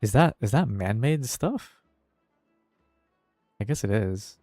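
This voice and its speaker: A man's voice: fairly low pitch, expressive, slow, warm tone, dry recording, studio quality. A man streaming a game and chatting casually, rambling in a hesitant, laid-back way as he thinks aloud. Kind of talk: casual livestream chat while gaming. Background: faint music.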